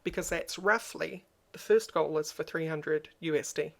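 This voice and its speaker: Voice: medium pitch, normal speed, gravelly, a bit bright. A YouTuber with a crackly voice, talking in a subdued and hesitant way in a personal vlog, with frequent ums. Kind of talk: personal vlog talk to camera.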